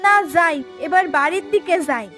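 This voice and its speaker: Lady doing a jealous scheming village neighbour woman, really high voice, cartoon, light music under. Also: animated, moderate pace. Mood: envious, scheming. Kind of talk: Bengali fairy tale cartoon dubbing.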